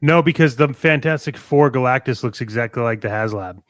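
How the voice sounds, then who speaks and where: A man's voice: mid-range voice, brisk, no echo, studio quality. A man chatting casually as a podcast co-host, an enthusiastic fan who rambles and thinks aloud as he goes. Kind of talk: casual fan chat about toys and films.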